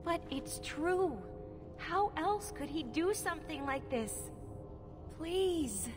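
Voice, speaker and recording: A woman's voice: very high-pitched, lively intonation, takes it slowly, exaggerated voice. An actress playing an earnest, distressed young elf girl in a video game, with a pleading manner. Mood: insistent pleading. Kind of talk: video game cutscene acting. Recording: echoing hall under loud music.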